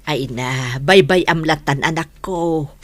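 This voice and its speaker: A woman's voice: sits low, takes it slowly, dry recording. A woman playing a caring, soothing mother of a grown son in a Filipino radio drama, with an Ilocano accent. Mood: concerned. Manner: soothing, concerned.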